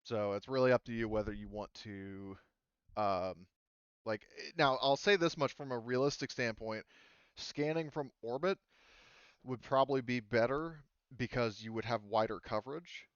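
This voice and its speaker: A man's voice: mid-range voice, moderate pace, clean tone, dry recording, muffled recording. A man speaking casually as a game master, explaining rules and settings in a hesitant, rambling, easygoing way.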